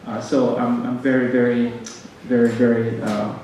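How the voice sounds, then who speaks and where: A man with a Ugandan accent giving a halting welcome speech as director of a music ensemble, with frequent pauses and repeated words. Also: mid-range voice, level tone, mellow. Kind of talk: welcome speech to a concert audience. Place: concert stage in a hall. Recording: very echoey hall, distant mic, faint noise.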